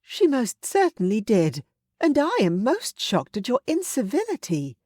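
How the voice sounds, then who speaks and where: Woman narrator as a tart middle-aged aunt, pointed and scolding, lively, dry sound. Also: a little slow, no echo, full character voice. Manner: pointed and scolding, raised pitch.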